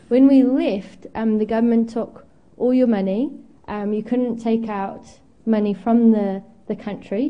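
A woman's voice: a little high, muffled recording. A young woman from Zimbabwe preaching a candid, storytelling sermon at a church, with frequent ums and self-deprecating asides. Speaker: guest preacher.

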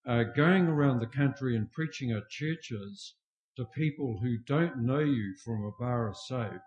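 An older man with a soft voice, opening a sermon with greetings in a slow, self-deprecating way. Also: medium pitch, husky, dull audio. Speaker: guest preacher.

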